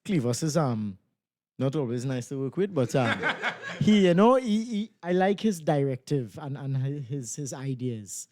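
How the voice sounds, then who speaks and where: A man, a stage actor answering casually in an interview, halting and repeating phrases. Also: medium pitch, sing-song, a little mellow.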